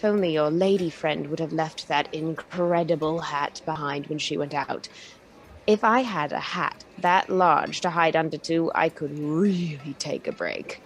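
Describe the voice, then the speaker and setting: A woman's voice: low-pitched, a little slow, a little hiss or hum. A woman playing a chatty, kindly, tired cafe waitress in an old-fashioned radio play, polite and confiding. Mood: weary, confiding.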